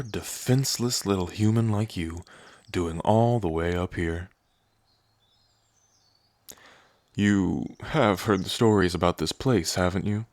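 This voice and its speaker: A man's voice: on the low side, a little mellow, dry recording, close to an everyday voice. A man playing an ancient dragon king in human form, smug and teasing, with a drawling, taunting delivery in a roleplay ASMR.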